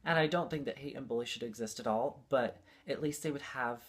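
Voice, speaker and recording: A young adult with a mid-pitched voice talks casually and earnestly, as a guest sharing personal stories. The delivery is emphatic and rambling, circling back over phrases to stress them. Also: fairly quick, husky. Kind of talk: casual heartfelt storytelling.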